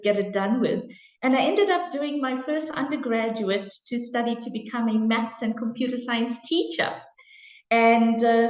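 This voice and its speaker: A woman's voice: on the high side, highs cut off. Reflective South African woman, echoey room. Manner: earnest, reflective, with fillers and restarts. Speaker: interview guest, HR executive. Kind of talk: conversational interview answers.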